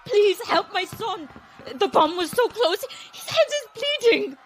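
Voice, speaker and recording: Panicked mom begging for help, really high voice, drawn-out cries, audio drama. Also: female voice, expressive. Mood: frantic, pleading. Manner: pleading, crying out. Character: frantic mother of a wounded teenage boy.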